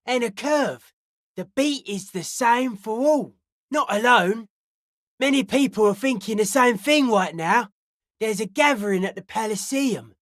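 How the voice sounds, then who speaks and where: A woman voicing a suspicious, aloof young hardcore raver in a video game, speaking in deadpan, clipped, cryptic pronouncements. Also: a little high, no echo, clean studio sound. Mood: deadpan, wary. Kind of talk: video game character dialogue.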